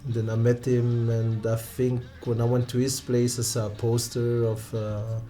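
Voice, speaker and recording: A man in his thirties with a Romanian accent, a non-native English speaker, telling stories from his own life in an interview, halting and restarting often and laughing along. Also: medium pitch, completely flat delivery, dark tone. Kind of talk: relaxed personal storytelling.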